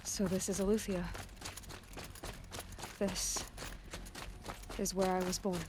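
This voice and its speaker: A woman playing a pensive, determined young adventurer in a video game, speaking in a hushed, musing way. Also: mid-range voice, little pitch movement, slow, over music, lightly acted. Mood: pensive, quiet. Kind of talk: scripted game voice acting.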